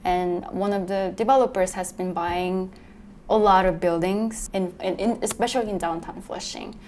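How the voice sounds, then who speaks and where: Halting young immigrant woman, lowish voice. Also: steady tone, darkish tone, a little hiss or hum. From born in Korea, moved to New York in youth. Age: young adult. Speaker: interviewee, local resident. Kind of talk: casual spoken testimony.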